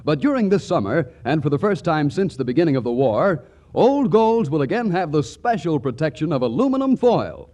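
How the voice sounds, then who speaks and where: Male announcer, highish voice, very lively intonation, emphatic 1940s radio pitch, polished scripted delivery.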